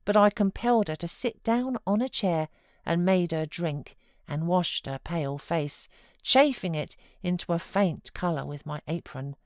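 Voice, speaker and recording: A woman with a British accent and a slightly low voice narrates literary fiction. Her delivery is measured and expressive, and the sound is dry with no room echo. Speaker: audiobook narrator.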